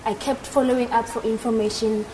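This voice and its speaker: A woman's voice: on the high side, level tone, fairly quick, dark tone, rough recording. A woman in her twenties with a South African accent, explaining things as an interview guest. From South Africa, Northern Cape. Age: 20s. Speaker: interview guest, aircraft maintenance engineer. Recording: noticeable background noise at live event.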